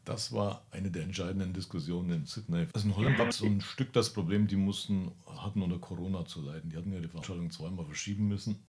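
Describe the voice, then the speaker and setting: A man's voice: deep voice, fairly even intonation, fast, few pauses, a little mellow. A man organizing a large sports event, speaking confidently in a briefing style with English buzzwords mixed in. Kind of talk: panel discussion.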